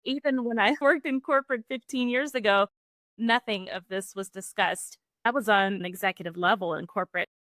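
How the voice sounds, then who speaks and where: A woman's voice: mid-range voice, a little fast, fairly bright tone, no room sound, clean studio sound. A woman co-hosting an interview podcast, agreeing with the guest and then asking her a question in casual conversation. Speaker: podcast co-host.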